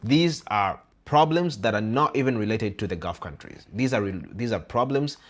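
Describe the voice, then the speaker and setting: Earnest man, low voice. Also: lively intonation, normal speed. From Uganda. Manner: earnest, emphatic, repeats phrases. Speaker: host. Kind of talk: casual interview chat.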